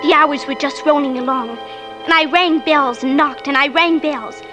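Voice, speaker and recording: A high, youthful little girl's voice, acted by a woman, earnest and insistent with a frightened edge. She performs in vintage radio drama style, with faint music behind her. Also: moderate pace, natural voice. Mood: somber storytelling. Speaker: lead character and narrator. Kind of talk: 1940s-50s radio drama acting. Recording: old radio recording with music.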